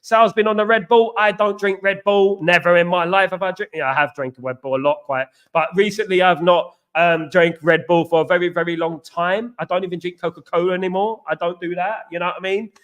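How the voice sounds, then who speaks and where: A man's voice: high-pitched, fairly even intonation, a little fast, few pauses, fairly bright tone. A man hosting a live football fan stream, chatting casually, reading viewer comments and repeating himself. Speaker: host. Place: China.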